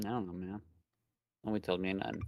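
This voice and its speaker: A man's voice: low voice, flat delivery, fast talker, a little mellow. A man reading a visual novel aloud on a stream and chatting casually about it, with crude, slangy joking. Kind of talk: casual playthrough chat mixed with reading aloud.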